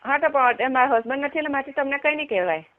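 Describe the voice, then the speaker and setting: A high-pitched woman's voice comes through a tinny, narrow phone line. She has a Gujarati accent and asks questions in a guarded, unscripted way. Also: lively intonation, fast. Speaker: recipient of a prank call. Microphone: telephone.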